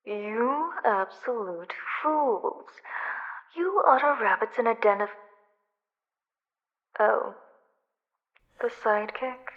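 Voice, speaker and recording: A woman playing a theatrical, obsessive female villain who taunts in a drawn-out, dramatic way in an audio roleplay. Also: on the high side, expressive, dull audio. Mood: scornful, bitter.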